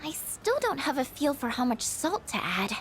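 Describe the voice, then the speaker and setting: High-voiced shy teenage girl. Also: big pitch swings, normal speed, a little hiss or hum. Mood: earnest, downhearted. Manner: hesitant, stammering, soft-spoken and apologetic. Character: shy, gentle, insecure teenage girl student. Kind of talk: video game character dialogue voice acting.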